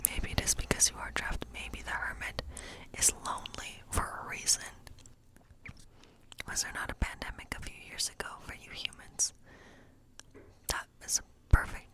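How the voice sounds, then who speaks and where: A gentle woodland witch, played by a woman, speaks entirely in a soft, breathy whisper. She muses slowly and circles back over her phrases as a tarot reader would. The style is an intimate ASMR fantasy role play. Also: close to an everyday voice. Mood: gentle, pondering. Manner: slow, gentle, musing, often repeating phrases. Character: kindly woodland witch reading tarot for a guest. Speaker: ASMR performer.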